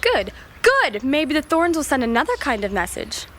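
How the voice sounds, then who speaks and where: An actress playing a spirited, teasing teenage sister in an audio drama, with theatrical, mock-formal diction. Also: female voice, high-pitched, very animated, normal speed, a bit bright, a little hiss or hum. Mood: dramatic, wry.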